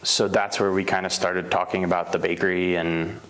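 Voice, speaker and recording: A man with a fairly low voice talks through his background in an oral history interview. He is relaxed and meandering, often trailing off with fillers and correcting himself mid-sentence. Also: moderate pace, rough recording. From Slovenia. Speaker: bakery owner, interviewee.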